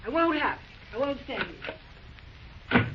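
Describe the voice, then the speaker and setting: Snobbish middle-aged mother, radio melodrama; haughty, wildly varied pitch, theatrical indignation. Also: animated, measured pace. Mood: outraged. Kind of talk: 1940s radio drama acting. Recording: dull old radio recording, faint noise.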